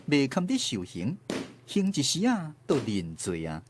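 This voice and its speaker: An actor playing a theatrical storyteller, narrating an old folk tale in a radio drama. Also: male voice, medium pitch, huge pitch swings, measured pace, background noise. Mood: dramatic, suspenseful.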